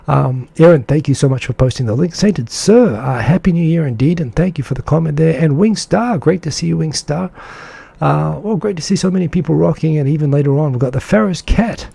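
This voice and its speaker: A man's voice: on the high side, creaky, warm tone, husky, no room sound. A man hosting a live stream, chatting casually and with enthusiasm, rambling with lots of fillers as he reads out and answers viewer comments. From English-speaking country. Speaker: live show host.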